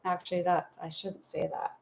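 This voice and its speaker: A woman's voice: lowish voice, flat delivery, moderate pace, creaky, a little mellow, slight background noise. A woman giving a live tarot reading from home, talking in a soothing, meandering, spiritual way with affectionate asides. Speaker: tarot reader.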